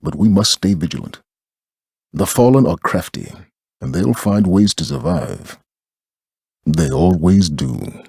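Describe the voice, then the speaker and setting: Gravelly, solemn veteran commander. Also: male voice, deep voice, big pitch swings, darkish tone, heavily acted. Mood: grave warning. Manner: grave, measured. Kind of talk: dramatic video game acting. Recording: hall reverb.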